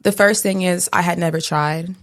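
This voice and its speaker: A young American woman with a deep alto voice, speaking in a composed, slightly monotone way as a casual interview guest. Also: moderate pace, a little hiss or hum. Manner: composed, serious, somewhat monotone. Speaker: guest, rapper and singer.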